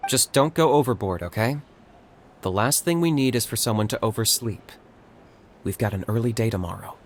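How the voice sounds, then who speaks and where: A man playing a calm, sensible and gently supportive young man in a video game, measured and matter-of-fact. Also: mid-range voice, a little hiss or hum, close to an everyday voice.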